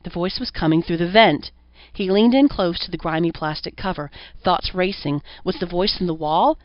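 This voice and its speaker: A woman's voice: lowish voice, normal speed. A woman reading a horror story as an audiobook narrator, in scripted narration. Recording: roomy echo, dull lo-fi sound.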